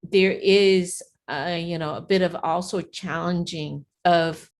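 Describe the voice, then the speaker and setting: A woman's voice: lowish voice, steady tone, slow. A middle-aged woman, a parent giving earnest, chatty interview answers with many "you know"s. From grew up in America, Vietnamese heritage.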